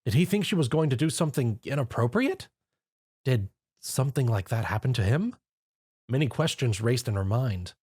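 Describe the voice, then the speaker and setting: A man narrating a fan story in a lively storytelling manner. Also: mid-range voice, moderate pace, husky. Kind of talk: fan fiction reading.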